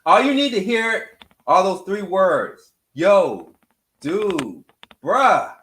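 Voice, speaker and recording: Male show host, higher-pitched and expressive, casual music chat, emphatic repetition, echoey room. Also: very slow. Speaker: radio morning show host.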